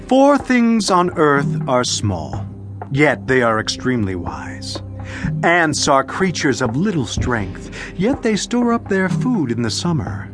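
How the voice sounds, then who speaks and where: A slow, theatrical ancient sage over music. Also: male voice, on the high side, huge pitch swings, full character voice. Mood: declamatory. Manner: theatrical, deliberate, emphatic. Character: weary, solemn ancient sage reciting proverbs. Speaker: Bible narrator and reader. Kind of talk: dramatized scripture reading.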